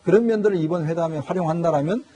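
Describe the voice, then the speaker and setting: A man speaks as a news panel commentator. His voice sits a little high, with a soft, dark edge and a rough, husky grain, and he moves along quickly.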